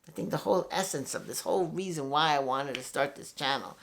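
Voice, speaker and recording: A man's voice: fairly high pitch, lively intonation, brisk, creaky, fairly bright tone. Rambling older man from New York. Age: 60s. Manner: rambling, candid, swears freely, with many fillers and restarts. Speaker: guitarist and channel host. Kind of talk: casual reflective monologue.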